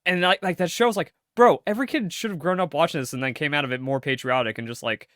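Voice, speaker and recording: Young dude cohosting a podcast, gets all worked up, voice jumps around, dry mic. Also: male voice, fast talker, no room sound. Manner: animated, pitch jumps when fired up. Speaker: podcast co-host. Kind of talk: casual political chat.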